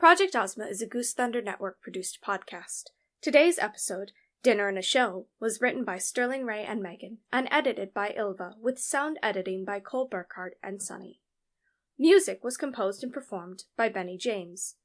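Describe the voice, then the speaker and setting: A woman's voice: mid-range voice, lively intonation, moderate pace. A woman announcer reading scripted podcast credits.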